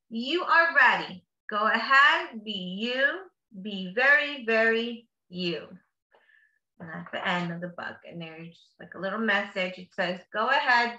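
A woman reading a picture book aloud to children in a teacherly, encouraging way, with drawn-out emphasis and simple explanatory asides. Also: medium pitch, bright, forceful tone. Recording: roomy echo, slightly dull sound.

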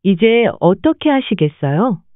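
A woman's voice: mid-range voice, big pitch swings, no echo. A woman narrating a language lesson, reading short phrases and then their translations. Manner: reads each English phrase very slowly, Korean lines quickly. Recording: dry, phone-like narrow band.

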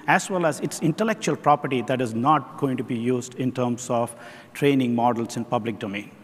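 A middle-aged man, a chief technology officer, presenting on a conference stage in a measured way, posing rhetorical questions. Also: medium pitch. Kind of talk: keynote presentation. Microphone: headset mic. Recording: long reverb of a large hall.

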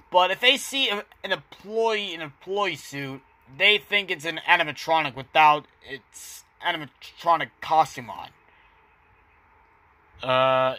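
A man playing a chatty doctor on a casual, awkward phone call in an amateur short film, talking in a halting, matter-of-fact way. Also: fairly high pitch, sharp tone, no echo. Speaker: amateur voice actor.

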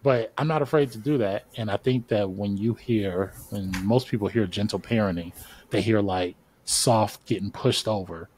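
A man's voice: on the low side, moderate pace, a little mellow, breathy edge, rough recording. A man in his thirties, a podcast guest on a video call, explaining his views at length in a casual, thoughtful way. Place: home studio, indoors. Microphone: large studio mic on video call.

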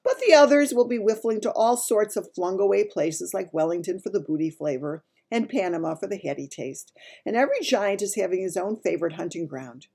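A woman voicing a gentle, runty male giant from a children's storybook, talking in muddled grammar and made-up words. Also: mid-range voice, lively intonation, brisk, slightly rough. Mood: matter-of-fact, eager. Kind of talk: dramatised children's storybook reading.

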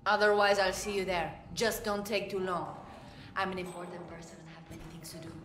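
A woman's voice: on the low side, flat delivery, a little fast, fairly bright tone, slight background noise, lightly acted. A woman playing an imperious, impatient businesswoman crime boss in a video game, haughty and dismissive. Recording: echoey room, clear background ambience.

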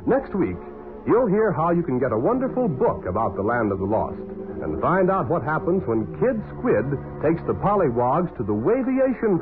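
A man announcing a 1940s children's radio show in a scripted style. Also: on the high side. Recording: tinny, phone-like 1940s radio recording.

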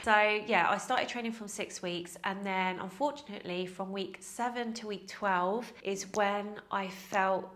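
A woman's voice: mid-range voice, a little slow, hardly any pauses, a bit bright. A woman in her thirties with a nasal, cold-stuffed voice, chatting to camera in a casual Q&A, laughing at herself often. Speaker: fitness vlogger. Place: small indoor room with plants. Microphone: lapel mic.

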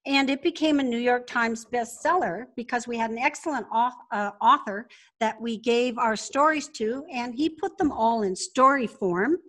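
A woman's voice: a little high, normal speed, fairly bright tone. An older woman talking conversationally as a guest entrepreneur in an interview, with an emphatic way of making points.

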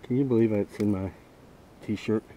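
A man's voice: lowish voice, steady tone, moderate pace, warm tone, low-quality recording. A man giving casual, folksy running commentary while fishing from a small boat, as if to himself and his viewers. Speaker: fishing vlogger. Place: outdoors on a small boat on a lake. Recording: faint outdoor boat and water noise. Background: boat and water noise.

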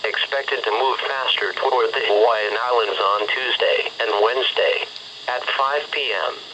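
A synthetic male text-to-speech voice reading a formal, measured weather bulletin as a radio announcer, heard through a radio speaker. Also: medium pitch, background noise. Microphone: camera picking up a radio speaker.

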